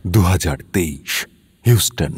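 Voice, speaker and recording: Deep-voiced male mystery narrator. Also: lively intonation, measured pace, darkish tone, slight background noise. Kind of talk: dramatic scripted story narration. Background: music.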